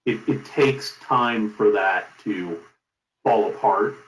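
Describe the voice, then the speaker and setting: A middle-aged man being interviewed as a company founder, giving advice in an emphatic, deliberate conversational way. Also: lowish voice, slight background noise. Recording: roomy, echoing sound.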